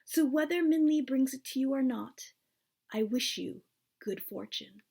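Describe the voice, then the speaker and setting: A woman voicing a wise goldfish man in a storybook, gently reproving in a measured, persuasive way. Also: high voice, vocal fry, breathy edge, heavily acted. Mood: kindly, persuasive.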